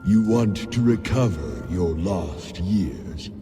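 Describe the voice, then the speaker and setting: A solemn ancient protector spirit, speaks with formal gravity in a fantasy game. The voice is very low and deliberate, and music plays clearly behind it. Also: male voice, animated. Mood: grave, commanding. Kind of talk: fantasy game cutscene acting.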